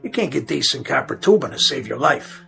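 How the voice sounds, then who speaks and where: An actor playing a tired, decent New repairman in a radio drama, talking in a halting, colloquial, apologetic way. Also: mid-range voice, big pitch swings, vocal fry, a bit bright, faint music behind, full character voice. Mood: apologetic, weary.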